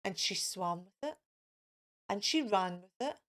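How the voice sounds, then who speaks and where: A woman's voice: medium pitch, animated, a little slow, fairly bright tone. A woman hosting a children's storytime, reading a picture book in a sing-song way for young listeners. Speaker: children's storytime host and reader.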